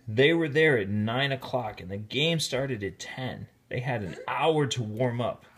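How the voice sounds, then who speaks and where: A man reading a children's story aloud in an expressive, deliberate storytelling manner, with casual asides. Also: mid-range voice. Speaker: storybook reader, teacher. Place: indoors, filming the book held in hand.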